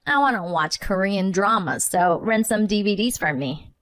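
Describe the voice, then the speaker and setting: A woman's voice: medium pitch, expressive, moderate pace, a bit bright, natural voice. A woman doing a whiny, spoiled mother-in-law.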